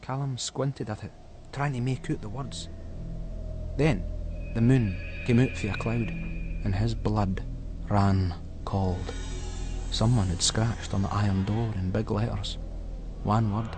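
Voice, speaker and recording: A Scottish man narrating a ghost story in Scots dialect, with an expressive, suspenseful storytelling delivery. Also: lowish voice, slow pace, pauses often, over music. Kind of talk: dramatic scripted narration of a ghost story for schools radio.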